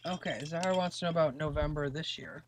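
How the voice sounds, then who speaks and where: A woman's voice: sits low, fast, background noise. A woman doing a casual live-stream tarot reading, chatty and rambling with many fillers. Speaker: tarot reader.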